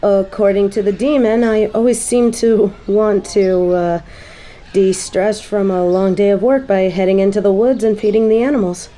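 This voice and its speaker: A woman's voice: medium pitch, fairly even intonation, moderate pace, warm tone, lightly acted. A woman playing a prim, uptight, easily flustered angel little sister in an audio drama, speaking hesitantly. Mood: shy, reflective. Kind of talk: audio roleplay drama acting.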